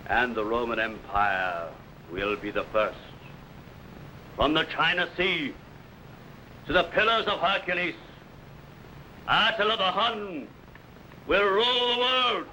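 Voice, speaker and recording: An actor playing a boastful, ruthless barbarian warlord, proclaiming conquests in grandiose speeches. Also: male voice, on the high side, takes it slowly, pauses often, bright, forceful tone, a little hiss or hum, full character voice, poor audio. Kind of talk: 1950s radio drama acting.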